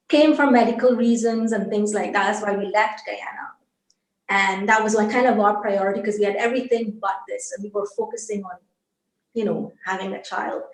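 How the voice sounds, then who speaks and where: A woman's voice: medium pitch, fairly even intonation, moderate pace. Older lady from barbados telling her story kinda earnest, lots of restarts, roomy echo. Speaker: interview guest. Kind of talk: personal testimony in conversation.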